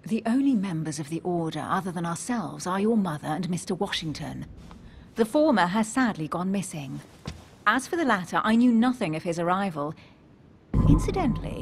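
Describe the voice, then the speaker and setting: A woman's voice: on the low side, big pitch swings, fairly quick, creaky, slight background noise. An actress playing a guarded, witty, self-possessed woman of a secret society in a video game, composed and articulate. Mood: teasing. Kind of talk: dramatic video game dialogue. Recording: music under the voice.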